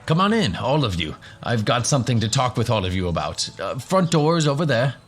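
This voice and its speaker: A man's voice: mid-range voice, big pitch swings, fairly quick, lightly acted. A man voicing a welcoming professor with an upbeat, inviting manner in a fantasy audio drama. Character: welcoming male professor gathering adventurers.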